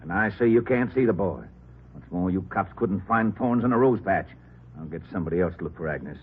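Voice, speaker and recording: A combative, defensive nursery owner in middle age. He has a fairly low American voice and talks in gruff, curt bursts. It comes from an old radio drama with a narrow, slightly noisy sound. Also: lively intonation, brisk. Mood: angry, defiant. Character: surly, evasive nursery owner, defensive husband and stepfather. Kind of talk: 1950s radio drama acting. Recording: band-limited old radio recording, background noise.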